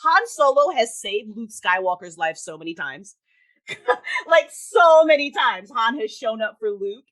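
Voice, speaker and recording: Woman, very lively intonation, emphatic delivery, blunt, sweary, casual opinionated chat. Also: on the high side, a little slow. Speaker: guest, audio drama creator.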